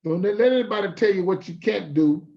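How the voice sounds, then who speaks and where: High-pitched, gravelly man. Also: quick pace. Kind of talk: motivational encouragement. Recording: dull lo-fi sound.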